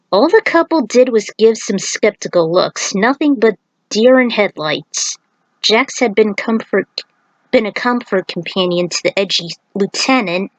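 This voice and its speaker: A woman narrates a written story in a mid-pitched voice. The sound is dry, with very little room around it, and she occasionally trips over a word as she reads. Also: dry recording, poor audio. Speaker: narrator reading own fan fiction.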